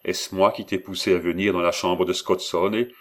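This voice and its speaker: A man voicing a domineering master goldsmith in an audiobook, commanding and measured in his threats. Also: low-pitched, natural voice. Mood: menacing.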